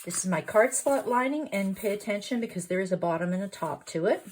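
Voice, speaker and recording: A middle-aged woman, hoarse from a cold, chatting through a step-by-step sewing tutorial with frequent fillers. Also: medium pitch, expressive, fairly quick. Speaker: sewing pattern designer.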